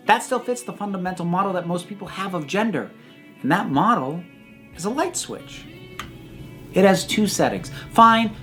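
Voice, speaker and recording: A mid-pitched speaker reads a personal reflection in the style of a speech. The phrasing is deliberate and emphatic, with weighted pauses. Soft guitar music sits behind the voice. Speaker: video essayist reading own personal reflection.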